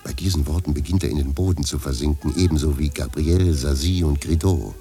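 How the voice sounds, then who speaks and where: A man reading scripted narration for a radio play in a measured, literary manner. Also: extremely low pitch, warm tone. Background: faint music.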